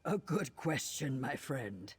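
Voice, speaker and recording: An actor voicing an earnest, seasoned reptilian warrior envoy in a fantasy video game, speaking in a measured, formal way. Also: male voice, mid-range voice, sing-song, slightly rough, exaggerated voice. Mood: grave, resolute.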